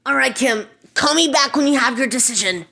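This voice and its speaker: A high-voiced, impatient president of the United States played by a woman in an amateur audio drama. The voice creaks noticeably, and the figure is bossy and pressed for time. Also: a little fast, pushed and bright, heavily acted. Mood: urgent, pleading. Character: impatient, pleading male American president.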